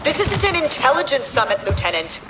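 An actress playing a commanding, indignant government leader in a video game, formal and authoritative. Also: female voice, high voice, sing-song, a little fast, background noise. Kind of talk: video game cutscene acting. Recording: echoey, distant, under loud music.

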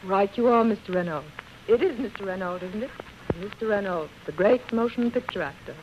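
A woman's voice: mid-range voice, brisk, lightly acted. Earnest young society girl in love woman acting, old radio play, dull sound with some hiss. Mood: teasing. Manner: romantic, earnest. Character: lovestruck, pleading society girl of nineteen. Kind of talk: 1930s-40s radio drama acting. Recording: muffled, noisy vintage radio broadcast.

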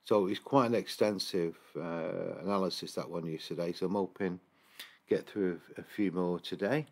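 A man's voice: low voice, moderate pace, darkish tone. A man, a paranormal investigator, rambling with many fillers and restarts as he reviews security camera footage at his computer. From England. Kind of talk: casual screen-recorded review commentary.

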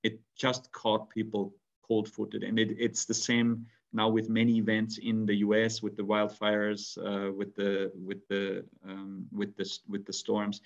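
A man's voice: lowish voice, completely flat delivery, measured pace, vocal fry, hardly any pauses. A man in his forties from Austria, a native German speaker, speaking on a webinar video call with many restarts and fillers. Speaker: guest speaker. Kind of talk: webinar talk. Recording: dull lo-fi video call audio.

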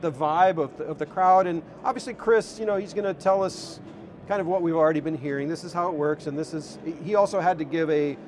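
A man in his fifties talks as an interview guest, recounting what he saw without a script. His voice sits a little high for a man. It is recorded on a clip-on mic in a big indoor hall, with clear ambient noise behind him. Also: fairly quick, a little mellow. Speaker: interview guest, electric car enthusiast. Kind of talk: unscripted interview chat, recounting a visit. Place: large indoor car show hall. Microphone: clip-on wireless lapel. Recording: noisy exhibition hall ambience.

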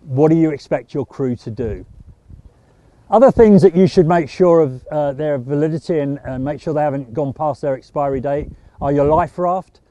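A middle-aged man talks through boat safety in a calm, methodical, practical way. His voice sits slightly high for a man but sounds warm and mellow. The recording is dry and close. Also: moderate pace, dry recording. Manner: steady, practical and methodical, with small restarts. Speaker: sailing instructor. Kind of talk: instructional talk to camera.

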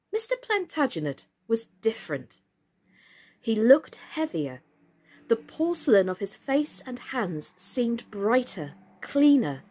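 A woman in her thirties reading a children's story expressively, in a measured and deliberate way. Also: on the high side. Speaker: storyteller, actress. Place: small furnished room indoors.

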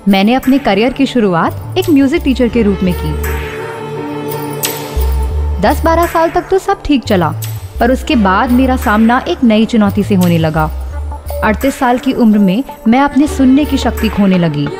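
A woman's voice: fairly high pitch, animated, normal speed, over music, lightly acted. A woman playing a confident blind woman who tells her life story in scripted radio narration. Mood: earnest, confident.

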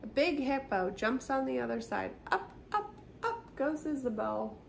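A middle-aged woman hosting a storytime, reading a children's picture book aloud with expressive, simple storyteller phrasing for young children. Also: sits high, unhurried. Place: small indoor room by a brick wall, seated. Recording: clearly noisy home recording.

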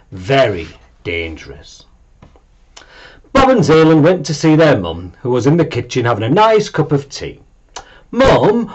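A man around his forties narrating a children's story in an animated, deliberate way. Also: mid-range voice, husky, muffled recording. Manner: expressive, deliberate. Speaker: storybook reader. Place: small room at home, indoors.

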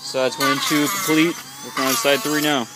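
A man's voice: medium pitch, steady tone, moderate pace, heavy background noise. A young man, an engineering student, giving offhand, joking commentary while the class works on a project. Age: young adult. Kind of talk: casual on-camera commentary.

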